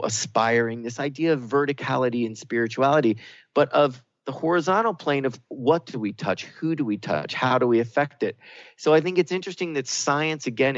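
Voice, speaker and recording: A man speaks at a middle pitch as a radio co-host, setting out spiritual ideas earnestly and reflectively. The audio is close and dry, with a slightly muffled, low-fidelity quality. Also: no room sound.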